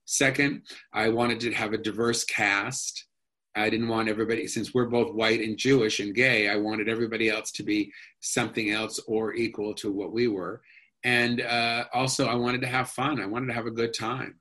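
Bright-voiced man, low-pitched and rambling. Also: little pitch movement, moderate pace. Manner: rambling, enthusiastic, many restarts and fillers. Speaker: actor guest. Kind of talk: casual interview talk.

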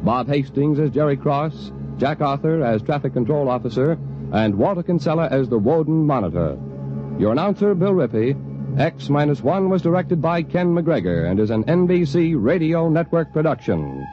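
An American man announces in 1950s radio style with a highish, very lively voice. The old recording is dull, with music playing clearly underneath. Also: normal speed.

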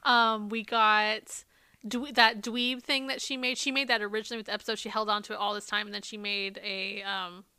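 A woman's voice: mid-range voice, little pitch movement, fairly quick, pushed and bright, smooth, dry recording, clean studio sound. A woman co-hosting a podcast in casual chat. She is losing her voice, swears freely and laughs often. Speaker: podcast co-host.

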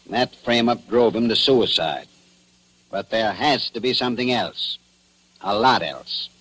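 An actor playing a wry, dogged small-town police chief in a 1940s radio drama, folksy and full of probing questions. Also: male voice, fairly low pitch, lively intonation, measured pace, fairly bright tone, slightly rough, exaggerated voice, low-quality recording. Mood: wry, pressing. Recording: hissy old radio recording.

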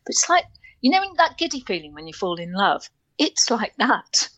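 An older woman chats and tells stories with plenty of laughter. Her pitch leaps and swoops all over the place and often breaks into bright, high squeals. The sound is dry and close. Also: sing-song, moderate pace, no echo, rough recording. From North Wales. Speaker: guest. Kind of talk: casual chat.

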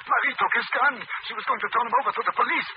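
An actor playing a frightened, guilt-ridden old manservant in an old-time radio drama, pleading and stammering with a quavering voice. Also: male voice, extremely high pitch, racing. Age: older. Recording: noisy, muffled vintage radio recording.

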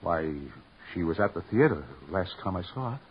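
An actor playing an anxious husband pleading with the police in an old-time radio drama. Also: male voice, low voice, animated, moderate pace. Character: anxious husband, theater man Georgie. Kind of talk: 1940s radio acting. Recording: muffled old radio recording, faint hiss.

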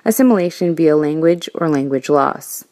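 An American woman delivers a prepared voicemail in a composed way. Her voice is mellow and soft-edged, sitting a little low for a woman. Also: expressive, moderate pace. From United States, New York and Florida. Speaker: listener leaving a voicemail.

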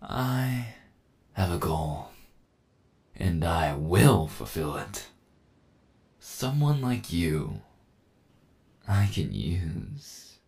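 A man playing a smug, dominant cyborg villain in an ASMR audio roleplay, taunting and condescending with slow menace. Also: very animated, a little mellow, exaggerated voice. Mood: calculating. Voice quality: low, gravelly.

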